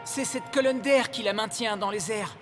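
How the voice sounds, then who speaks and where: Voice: medium pitch, moderate pace, music in the background, lightly acted. A performer voicing a proud young boy knight of ice in a French cartoon dub, superior and dismissive. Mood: haughty.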